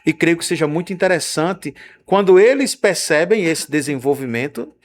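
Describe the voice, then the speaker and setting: A man's voice: fairly high pitch, expressive, normal speed. A man with a Brazilian Portuguese accent hosting a radio interview, asking long, winding questions with "não é?" tags.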